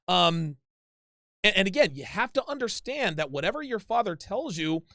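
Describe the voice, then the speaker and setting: A man's voice: high voice, big pitch swings, normal speed, sharp tone, no echo, studio quality. A man hosting a live call-in advice show, talking casually, repeating himself and calling people "dude".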